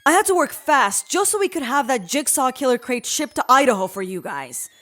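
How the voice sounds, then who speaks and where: A woman's voice: high voice, sing-song, a little fast, a bit bright. A woman playing a blunt, sarcastic, insubordinate hacker in a comedy audio drama. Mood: angry, accusing. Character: sardonic, insubordinate female hacker.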